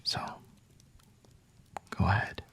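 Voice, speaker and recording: A man playing a flirty, aloof, high-ranking vampire in an ASMR roleplay, speaking in a breathy, hushed whisper close to the mic with haughty, seductive pauses. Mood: aloof, smug. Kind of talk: ASMR roleplay, scripted monologue to the listener. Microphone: close-up microphone.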